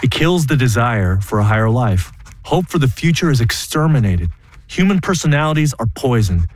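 A man's voice: mid-range voice, sing-song, lightly acted. A man playing an earnest young student narrator. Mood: resolute. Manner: earnest, measured, conversational. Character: principled young Japanese American student. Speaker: narrator and lead in a one-man play. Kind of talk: theatrical first-person narration.

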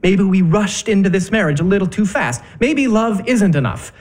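Actor as an exasperated young husband, wildly swooping delivery. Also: male voice, high-pitched, moderate pace. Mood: sardonic, fed up. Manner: dry, sarcastic, excitable. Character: exasperated newlywed husband, a tired, sarcastic lawyer. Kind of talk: theatrical comedic acting.

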